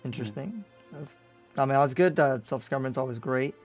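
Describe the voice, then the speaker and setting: A man playing a curious, easygoing demon slayer in an improvised anime roleplay, with a halting, attentive way of talking. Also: mid-range voice, natural voice. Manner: halting, attentive, murmuring acknowledgements. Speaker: roleplay player. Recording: background music under the voice.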